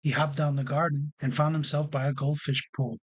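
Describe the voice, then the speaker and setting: A man's voice: fairly high pitch, level tone, brisk. A man narrating a children's storybook as a read-along storyteller.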